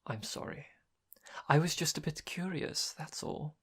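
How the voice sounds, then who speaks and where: A woman playing a calm, probing, quietly mysterious companion in an audio drama, speaking in a measured, deliberate way. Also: deep voice, slightly rough, whispered, full character voice. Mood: soothing. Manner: measured, softly curious, deliberate.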